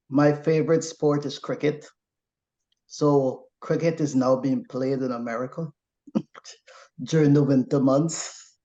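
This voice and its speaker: A man from Guyana speaks slowly in a mellow tone, giving a relaxed impromptu answer with the odd chuckle. The call audio sounds dull and lo-fi. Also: medium pitch. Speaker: meeting guest. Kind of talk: impromptu speech.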